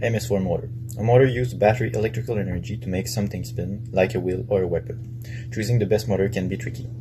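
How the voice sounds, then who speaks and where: A man's voice: deep voice, fairly even intonation, fast. A young man reading a children's book aloud in a workshop. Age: young adult. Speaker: robot combat team member. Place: workshop, indoors. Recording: clear background noise.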